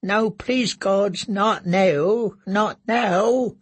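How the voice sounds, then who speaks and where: A man's voice: sits high, very slow, breathy edge, voice pushed up, heavily acted. A man voicing a starved, broken male prisoner who whimpers, stammers and begs. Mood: terrified pleading.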